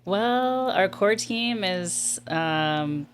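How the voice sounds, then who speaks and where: A woman's voice: medium pitch, expressive, very slow, a little hiss or hum. A woman who leads a nonprofit, answering interview questions in an earnest, reflective and candid way. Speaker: nonprofit executive director, guest.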